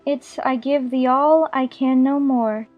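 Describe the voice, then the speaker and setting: A woman's voice: high-pitched, steady tone, slow pace, muffled recording. An actress playing a curious, polite, puzzled young girl in a dramatized audiobook, with music behind her. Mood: quietly knowing.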